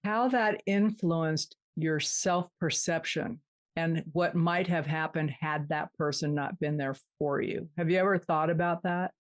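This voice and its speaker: A woman's voice: low-pitched, a little slow. A woman hosting a podcast, asking thoughtful, probing questions. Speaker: podcast host. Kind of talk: interview questions.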